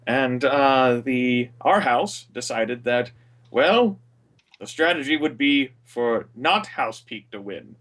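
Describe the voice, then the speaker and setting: A man with a booming voice recapping a tabletop game session over a voice call, rambling with many fillers. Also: slow pace, a bit bright, far from the mic. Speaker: game master.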